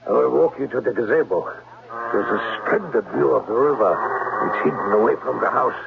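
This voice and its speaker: Menacing German groundskeeper, crackly old radio. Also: male voice, medium pitch, a little fast, vocal fry. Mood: smooth, insinuating. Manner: insinuating, commanding, clipped. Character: menacing, lecherous German groundskeeper with guard dogs. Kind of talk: 1970s radio drama acting. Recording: crackly narrow-band old radio recording.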